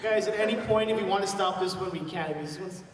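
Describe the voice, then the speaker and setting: High-voiced man bantering over a loud crowd. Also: quick pace. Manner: slangy, profane. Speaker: convention panel host. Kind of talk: casual joking panel banter. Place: large convention panel room. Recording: echoey hall, distant mic, loud crowd.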